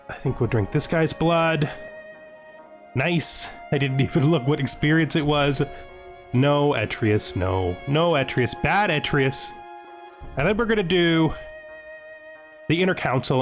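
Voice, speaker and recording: A man hosting a let's play, thinking aloud as he comments, with playful mock-menacing asides. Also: on the high side. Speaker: host of a gaming video series. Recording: music behind the voice. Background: music.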